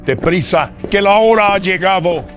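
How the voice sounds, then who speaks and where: A man's voice: high-pitched, normal speed, fairly bright tone, soft background music, voice pushed up. A man voicing a stern, haughty older lord of a haunted mansion in a horror radio drama, through a voice filter. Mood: urgent, commanding. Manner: haughty, archaic, commanding. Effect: voice processed with audio filters.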